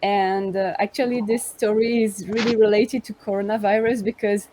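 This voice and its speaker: A woman's voice: medium pitch, steady tone, normal speed, mellow, slight background noise, low-quality recording. A young French woman with a Normandy accent, chatting casually as an interviewee on a video call. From France. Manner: chatty, self-introducing with fillers.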